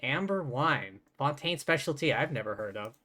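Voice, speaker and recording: A woman's voice: very deep voice, animated, normal speed, bright, forceful tone, a little hiss or hum. A woman livestreaming a video game, casually chatting and reacting aloud as it goes. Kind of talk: casual live commentary on a game. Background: game music.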